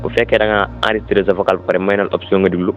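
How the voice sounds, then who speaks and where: A man's voice: low-pitched, little pitch movement, rapid-fire, highs cut off, soft background music. A man with a Senegalese accent gives an informal step-by-step app tutorial, repeating instructions and mixing in French words. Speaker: tutorial presenter.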